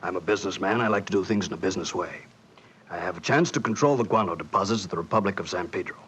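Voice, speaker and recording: A man playing a blunt, pushy, shady American businessman with a husky voice, in 1940s radio style. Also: low-pitched, a bit bright, full character voice. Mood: pushy, confident. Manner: blunt, fast-talking. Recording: dull 1940s radio recording.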